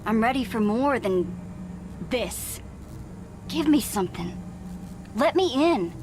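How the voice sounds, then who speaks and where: A slow, creaky, taunting villainess. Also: female voice, fairly high pitch, lively intonation, noisy background. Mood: defiant, hungry. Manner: sultry, mocking, drawn-out teasing. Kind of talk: dramatic game cutscene dialogue. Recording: loud music under the voice.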